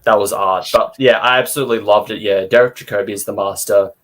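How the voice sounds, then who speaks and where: A man's voice: on the low side, fairly even intonation, fast, a bit bright. A man co-hosting a podcast, giving his opinions in a casual review discussion.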